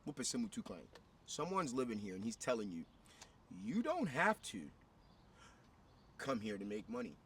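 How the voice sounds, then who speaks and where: A man from Ghana hosting a casual interview for his vlog, excitable and profane, often cutting in. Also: mid-range voice, moderate pace, pauses often. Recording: distant mic with background noise.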